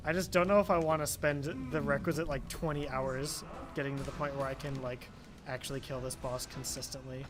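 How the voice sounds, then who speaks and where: A man's voice: medium pitch, steady tone, brisk. A young man giving casual, joking commentary while he plays a game, laughing often. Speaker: speedrunner at a charity marathon. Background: game music.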